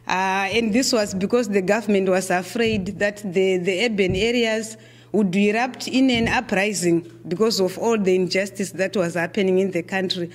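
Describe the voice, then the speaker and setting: A middle-aged woman with a Zimbabwean accent giving a conference keynote lecture, speaking in a measured way with frequent fillers and repeated words. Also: medium pitch, steady tone.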